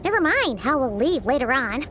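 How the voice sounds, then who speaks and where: A woman voicing a small, childlike, excitable fairy companion in a video game, with a squeaky, chatty delivery. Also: moderate pace, background noise. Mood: eager. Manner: chatty, expressive, exclaiming. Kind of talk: video game character acting. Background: music.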